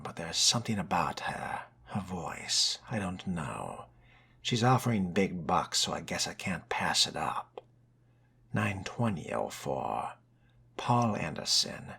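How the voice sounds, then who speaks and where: A man voicing a brooding, genteel vampire in video game roleplay, breathy and near-whispered, with a measured, ominously polite, old-fashioned manner. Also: low-pitched, pushed and bright, full character voice. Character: brooding, genteel, world-weary male vampire fledgling.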